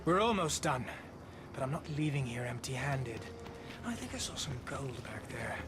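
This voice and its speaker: An actor playing a shrewd, hardened mercenary leader in a video game, dry and measured. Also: male voice, medium pitch, big pitch swings, noisy background, close to an everyday voice. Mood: businesslike. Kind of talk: video game cutscene acting.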